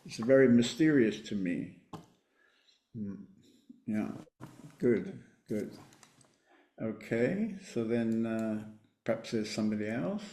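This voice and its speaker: A spiritual teacher leading an online meditation group, speaking in a calm, measured way and often affirming with repeated "right, right". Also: male voice, lowish voice, roomy sound, low-quality recording. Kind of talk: relaxed group-meeting talk. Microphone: computer mic on a video call.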